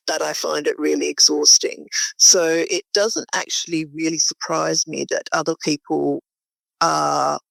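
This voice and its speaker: A woman speaks off the cuff in an interview about her community work. Her voice is creaky, with some fry, and the recording is close and dry. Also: low voice, dry recording, poor audio. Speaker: interviewee, community group founder. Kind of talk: unscripted interview talk.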